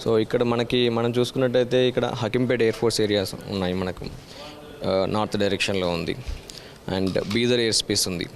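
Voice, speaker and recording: A man's voice: on the low side, level tone, fairly quick. A man with an Indian accent giving explanatory interview answers as an air traffic official, often switching into English phrases.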